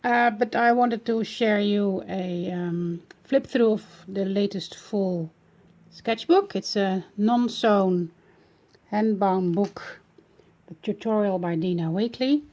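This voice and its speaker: Dutch-accented woman, casual narrator, unhurried and tentative. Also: mid-range voice, darkish tone. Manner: hesitant, searching for words. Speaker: art journaling creator. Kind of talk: casual flip-through narration.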